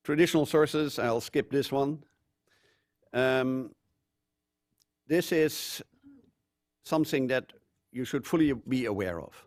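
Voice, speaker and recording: An older man from the Netherlands with a Dutch accent, giving a measured conference talk with frequent fillers. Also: mid-range voice, no room sound, clean studio sound. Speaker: conference speaker. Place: conference room.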